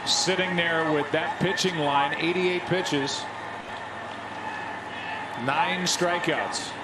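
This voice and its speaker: A man's voice: fairly high pitch, steady tone, takes it slowly, very noisy. An American man doing live baseball play-by-play, announcing counts and stats in clipped phrases. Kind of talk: live sports commentary.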